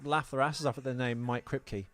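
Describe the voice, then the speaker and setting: A man's voice: mid-range voice, steady tone, normal speed, fairly bright tone. A man co-hosting a casual film podcast, talking in a rambling, self-deprecating way. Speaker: podcast co-host. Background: music playing behind the talk.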